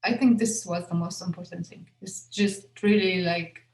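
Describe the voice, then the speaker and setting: A woman's voice: on the low side, steady tone, moderate pace, darkish tone, echoey room, low-quality recording. A young woman, a film director whose first language is Arabic, answering questions at a festival Q&A with many fillers and restarts. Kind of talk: festival Q&A conversation.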